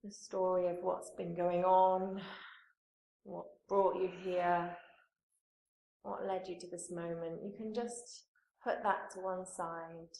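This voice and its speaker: A woman yoga teacher leading a guided meditation in a calm, soothing manner, with pauses between phrases. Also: lowish voice, creaky. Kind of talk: guided meditation, gentle spoken instruction. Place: indoor room, live training course class. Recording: roomy echo, live class recording.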